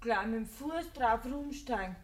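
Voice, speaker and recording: A woman's voice: fairly high pitch, expressive, a little slow. An actress playing a sullen, defiant and easily hurt young village woman with a Bavarian accent in a radio play. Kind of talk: German radio drama acting.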